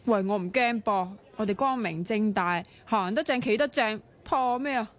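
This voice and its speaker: An actress playing a sharp-tongued, bossy, excitable young woman in a radio drama, chatty and expressive, with a Hong Kong Cantonese accent. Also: medium pitch, moderate pace, natural voice. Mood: persuasive, cheeky.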